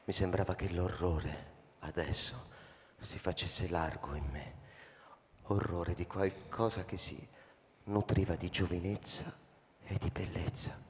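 An actor playing a pensive, weary old man who narrates his story slowly and haltingly in a dramatic radio reading. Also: low voice. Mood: brooding. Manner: slow, halting, introspective. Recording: long reverb, loud piano music behind.

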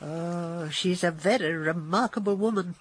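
A woman's voice: fairly low pitch, unhurried, breathy edge. An actress playing a chatty, well-bred English lady in a radio drama, genteel and exclamatory. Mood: curious.

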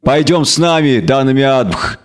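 A man voicing a proud, cold elf leader who speaks gravely and deliberately, in a fantasy audiobook. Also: medium pitch, lively intonation, lightly acted. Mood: pleading.

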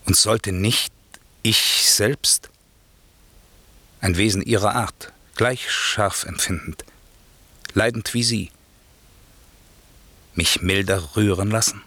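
An actor playing a stern, wronged sorcerer lord turning to forgiveness, reciting classical radio drama verse in a solemn, measured, declamatory way. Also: male voice, fairly low pitch, bright, forceful tone, no room sound, heavily acted. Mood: grave, moved.

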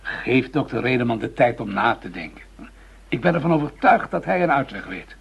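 Gravelly, diplomatic senior official. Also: male voice, medium pitch, big pitch swings, fairly quick, exaggerated voice. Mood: calm, firm. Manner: formal, businesslike, diplomatic. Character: measured, conciliatory male senior official mediating. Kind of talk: Dutch radio play acting. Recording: dull, muffled radio drama recording.

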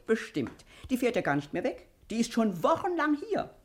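An actress playing a nosy, self-important middle-aged housekeeper in a German radio play, chatty and full of exclamations. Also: female voice, on the high side, very animated, normal speed. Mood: indignant, gossipy.

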